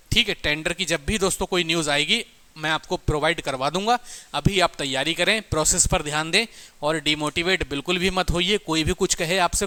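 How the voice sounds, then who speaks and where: A man speaks as an exam coach. His voice is high and sharply bright. He talks informally but emphatically, repeating key points as he urges students on. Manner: emphatic, repetitive, exhorting, addressing listeners as brothers and friends. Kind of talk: informal exam update and motivational coaching talk.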